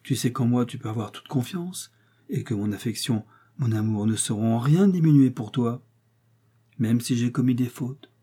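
A man's voice: medium pitch, moderate pace, warm tone, husky, lightly acted. A man playing a ruined, desperate husband. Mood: despairing. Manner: agitated, despairing. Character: desperate middle-aged husband, ruined, guilty and agitated.

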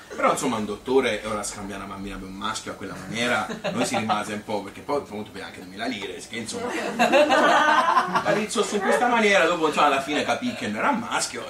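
A man's voice: medium pitch, lightly acted. A man playing a plain-spoken, exasperated Tuscan mother telling a rambling anecdote on stage in a colloquial, repetitive way. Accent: Tuscan Italian. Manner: colloquial, halting, repeating herself. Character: plain-spoken Tuscan mother recalling her baby daughter, chatty, exasperated. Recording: roomy theatre, distant mic, faint noise.

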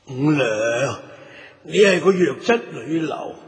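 Slow, echoing old man. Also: on the high side, expressive. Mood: solemn concern. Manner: drawn-out, solemn urging. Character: kindly old male neighbour, solemn remembered warning. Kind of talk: Cantonese radio drama acting. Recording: long echoing reverb.

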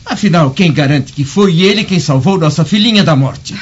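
Husky, haughty Roman senator. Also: male voice, a little high, expressive, normal speed. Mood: scornful, indignant. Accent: Brazilian. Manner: imperious, theatrical, scornful. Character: haughty Roman senator, skeptical husband and father. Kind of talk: radio drama acting. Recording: dull lo-fi recording.

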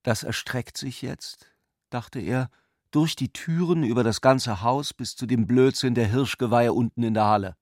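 A man reading literary narration for a radio drama in a measured, articulate manner. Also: mid-range voice, no room sound, studio quality.

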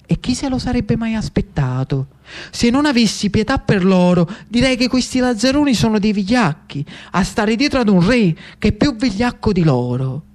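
A man playing a haughty, composed revolutionary noblewoman in a stage monologue, measured and disdainful. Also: high voice, very animated, darkish tone, voice pushed up. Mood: scornful. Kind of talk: theatrical monologue acting.

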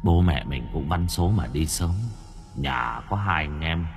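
A man voicing the ghost of an older village man who speaks in a low, even, murmured monotone. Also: unhurried, creaky, soft background music, heavily acted. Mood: solemn.

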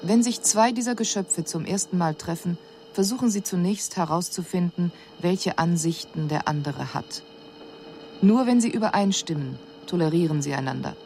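An actress playing an extraterrestrial observer in a radio drama, reporting on human customs in a clinical, measured, matter-of-fact way. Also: female voice, on the low side, darkish tone, a little hiss or hum, natural voice. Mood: detached, analytical.

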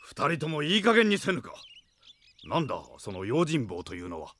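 A man's voice: on the high side, wildly swooping pitch, normal speed, fairly bright tone. A man playing a stern, loyal older knight commander in a Japanese radio drama, with archaic, authoritative speech.

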